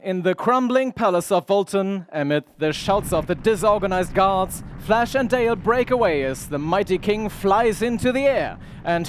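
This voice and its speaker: A man's voice: high-pitched, a little hiss or hum. A man narrating as a pompous, melodramatic announcer in 1930s radio style.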